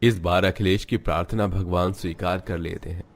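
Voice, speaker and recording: Narrator for animated family drama: male, deep register, scripted storytelling delivery. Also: normal speed.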